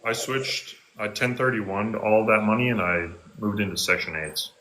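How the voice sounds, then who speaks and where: A man's voice: lowish voice, moderate pace, bright, forceful tone, slight background noise, rough recording. A man in his twenties talking as a guest on a casual call, laid-back and slangy, saying bro a lot. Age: 20s.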